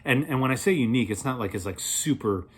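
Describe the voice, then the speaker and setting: A man in his thirties reviewing a product in a casual, playful way, rambling as he thinks aloud, with a slightly husky voice. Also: medium pitch, expressive, fast talker, slight background noise. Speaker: beer reviewer, vlog host.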